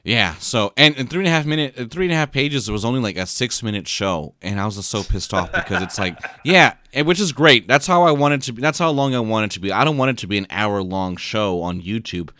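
A man's voice: fairly low pitch, fast, hardly any pauses, pushed and bright. A man co-hosting a podcast in casual chat, sleepy after a short night, rambling with many restarts and repeated words. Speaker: podcast co-host. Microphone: podcast mic.

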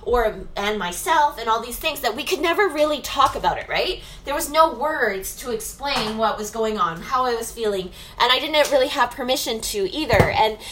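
A woman with a high, bright voice talks in a loose, rambling way, tagging her thoughts with "right?" and filling pauses with "like" and "um." She sounds like a business owner thinking out loud. The room around her is echoey, with faint background noise. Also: lively intonation. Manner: rambling, many fillers and "right?" tags. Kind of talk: casual reflective monologue.

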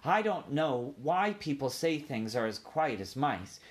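A man's voice: mid-range voice, very animated, measured pace, pitched above the performer's own voice. Man playing a frank, eager young boy. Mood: urgent.

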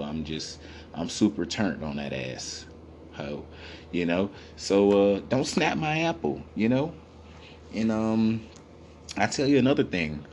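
Man, naturally deep, low-pitched voice, loose rambling profane comic rant, a faint hiss underneath. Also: a little mellow. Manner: rambling, repetitive, profane, full of fillers. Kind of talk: comedic rant to camera.

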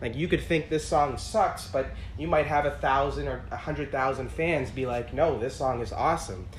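A man hosting an interview with a higher pitched voice, asking eager, rambling questions. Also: moderate pace, a little hiss or hum. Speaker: interview host. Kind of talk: casual interview conversation.

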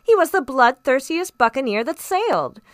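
A woman's voice: high voice, big pitch swings, normal speed, no echo, studio quality, voice pushed up. A woman voicing a hot-headed, pompous English country squire in a storybook, exclaiming and repeating himself emphatically. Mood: blustering excitement.

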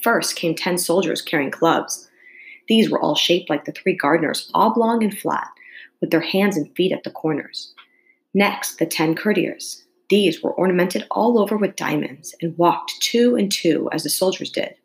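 A woman with a slightly low voice reads a children's classic as a teacher would to students. Her storybook narration is careful and expressive. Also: moderate pace, low-quality recording. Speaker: teacher reading aloud to students. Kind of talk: storybook read-aloud.

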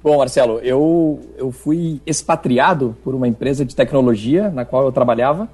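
A man with a Rio Grande do Sul accent talks in a friendly, familiar way, addressing the host directly. His voice is a bit husky. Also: medium pitch, normal speed, a little mellow, soft background music. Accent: Rio Grande do Sul Portuguese. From southern Brazil (Rio Grande do Sul). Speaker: guest, tech executive and wine student. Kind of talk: radio interview.

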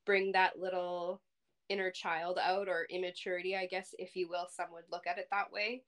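A woman's voice: fairly low pitch, little pitch movement, moderate pace, fairly bright tone. A woman in her thirties with a young-sounding voice, chatting casually and playfully as a podcast co-host. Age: 30s. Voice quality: youthful-sounding. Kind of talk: casual chat.

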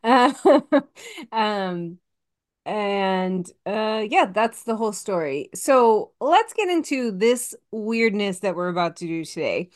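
A woman's voice: mid-range voice, lively intonation, takes it slowly. 40s woman cohost, rambly casual chat, lots of laughs and restarts. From Massachusetts. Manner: chatty, rambling, laughs often; many fillers and restarts. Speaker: podcast co-host.

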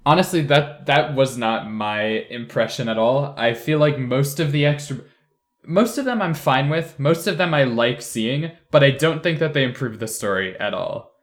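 A man with an average-pitched voice talks casually. He sounds like one panelist in an informal group discussion on a podcast. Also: expressive, moderate pace. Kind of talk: casual chat.

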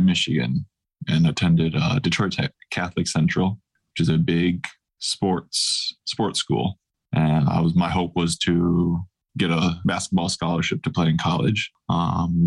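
A man in his thirties, a professional basketball player, answering interview questions in a relaxed, thoughtful way. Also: deep voice. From grew up across Asia, mostly Taiwan.

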